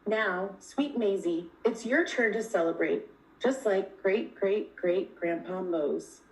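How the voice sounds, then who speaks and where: A woman's voice: medium pitch, slow. Echoey, distant woman reading a storybook. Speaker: reader of a children's picture book. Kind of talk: read-aloud storytelling. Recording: echoey room, distant from the mic.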